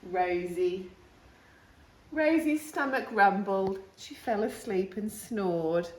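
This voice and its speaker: A woman reading a children's picture book aloud in an animated way, with exclamations and asides to young listeners. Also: mid-range voice, takes it slowly, slight background noise. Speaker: storytime reader. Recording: roomy, echoing room sound.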